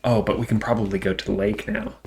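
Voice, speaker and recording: A man's voice: lowish voice, sing-song, fast talker, vocal fry, darkish tone. Casual man chatting over a noisy recording. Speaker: host of a game playthrough. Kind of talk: casual let's play chat, reading game dialogue aloud.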